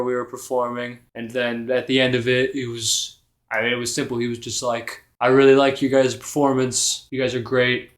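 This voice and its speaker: A man's voice: mid-range voice, bright, forceful tone, lightly acted. A man playing a wounded, dismissive bard in improvised tabletop roleplay, in a casual rambling way. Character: defensive wounded male bard, brushing off trauma.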